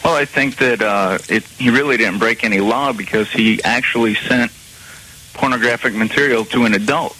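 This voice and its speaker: A man's voice: mid-range voice, normal speed, bright, forceful tone, low-quality recording. A man calling in to a radio show, making his point casually. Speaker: radio phone-in caller. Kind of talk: casual opinion, arguing a point.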